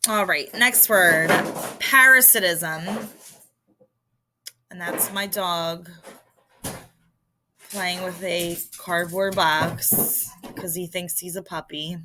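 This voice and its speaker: A woman teacher with a Philadelphia accent explains vocabulary in an informal recorded lesson. Her voice is bright and forward, and she takes her time, addressing students in a conversational way. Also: fairly low pitch, slow pace, pauses often, slight background noise. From Philadelphia area, United States.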